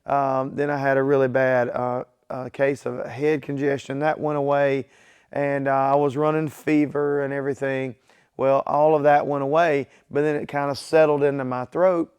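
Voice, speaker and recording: Man, weak voice from illness, smooth tone, casual workshop vlog, easygoing. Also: mid-range voice, flat delivery, normal speed, a little mellow. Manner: plain, easygoing, talks straight to viewers. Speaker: car builder hosting his own garage channel. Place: home garage workshop.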